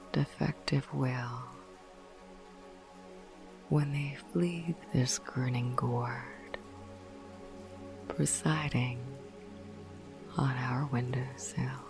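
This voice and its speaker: A woman narrating a bedtime ASMR podcast in a hushed, breathy whisper, soothing and unhurried. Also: rumbling low. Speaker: host of a sleep podcast.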